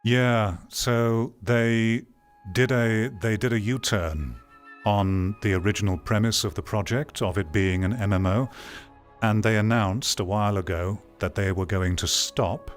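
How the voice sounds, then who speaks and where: Male commentator, low-pitched, blunt and exasperated, casual delivery, music bed underneath. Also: a little slow. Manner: blunt, exasperated, repeats phrases for emphasis. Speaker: host giving commentary on game news.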